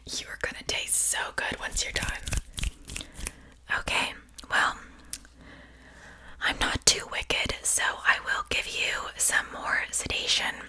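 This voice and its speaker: A woman whispers slowly in a breathy, strikingly bright and sibilant voice. She performs an ASMR roleplay as a wicked yet courteous witch. Her manner is gentle and softly chatty, never hurried. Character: wicked but polite witch seasoning her victim for stew.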